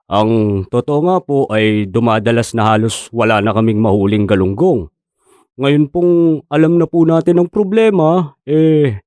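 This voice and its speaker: A man's voice: mid-range voice, warm tone, no room sound, clean studio sound, close to an everyday voice. A man playing a plain-spoken, respectful fishing boat captain in a radio drama, talking earnestly.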